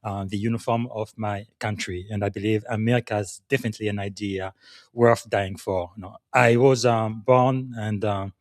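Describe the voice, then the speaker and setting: A man who grew up in the Congo hosting a podcast interview, talking with frequent filler pauses and enthusiastic introductions. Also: on the low side, fairly even intonation, no echo, studio quality. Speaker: podcast host.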